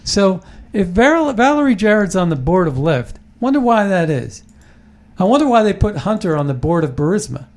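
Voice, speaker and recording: A man's voice: high voice, very animated, moderate pace, a little mellow. A man hosting a casual political talk show, rambling and emphatic, often restarting his sentences.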